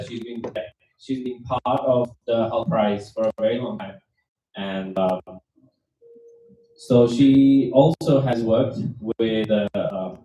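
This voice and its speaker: A man's voice: lowish voice, mellow. Man emceeing in a roomy hall. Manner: halting, many fillers and restarts. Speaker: event host. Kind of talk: live event emcee speech. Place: university event venue. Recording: roomy, distant hall sound with faint noise.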